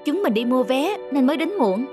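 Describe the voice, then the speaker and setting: Woman doing a chatty show-off girl friend in a cartoon, upbeat, highish voice, music behind. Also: expressive, fairly quick. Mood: cheerful, boastful. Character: chatty, cheerfully boastful young female friend. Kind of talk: cartoon dubbing.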